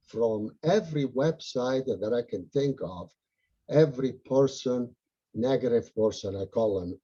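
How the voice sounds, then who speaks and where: A man's voice: mid-range voice, warm tone, husky, lo-fi sound. A man with an Italian accent, a local butterfly group organizer, talking earnestly and rambling with many fillers on a video call.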